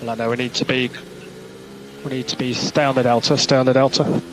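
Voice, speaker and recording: A noisy team radio transmission carries a man's mid-pitched voice. He is a race engineer giving clipped, procedural instructions and repeating the important calls. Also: level tone, brisk, fairly bright tone.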